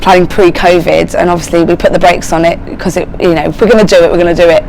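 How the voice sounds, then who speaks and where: A woman's voice: fairly low pitch, steady tone, fast, creaky, darkish tone, slight background noise, rough recording. A woman working as a choreographer, answering interview questions in an upbeat, emphatic way. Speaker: choreographer and casting director.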